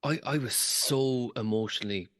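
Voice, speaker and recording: A man hosting a podcast interview, asking questions and sharing a personal story in a soft-spoken way. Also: mid-range voice, normal speed, long reverb tail. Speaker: podcast host.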